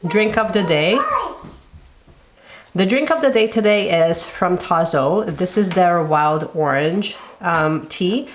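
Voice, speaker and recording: A young woman hosting her planner channel, chatting casually to camera, her voice raspy from a cold and full of little fillers. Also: on the low side, takes it slowly, background noise. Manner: chatty, lots of fillers like kind of and like.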